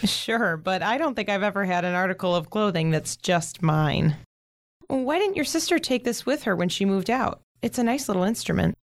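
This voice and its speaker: A woman's voice: mid-range voice, expressive, normal speed, no room sound, studio quality, natural voice. Polite, tentative teenage girl played by a woman. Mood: curious, polite. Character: shy, polite teenage girl, kindly and curious. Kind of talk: audio drama acting.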